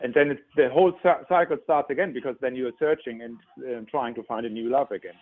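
A German-accented man in his sixties talks earnestly as an interview guest, repeating his first words before each point. His voice comes through thin and narrow, like a phone call. His pitch sits in the middle. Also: fast. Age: 60s. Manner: earnest, explanatory, frequent stutter-restarts. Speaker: relationship expert guest. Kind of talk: interview answers.

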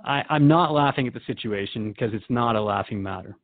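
A man's voice: mid-range voice, fairly quick, phone-quality sound, close to an everyday voice. A man playing an easygoing radio host who interviews in a casual, questioning way in a radio drama. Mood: amused.

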